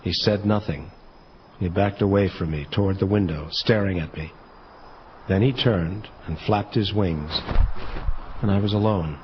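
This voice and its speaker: An actor playing an angel detective who recounts his story in measured, reflective first-person narration. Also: sits low, a little hiss or hum. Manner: measured, descriptive. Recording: slightly dull sound with music underneath.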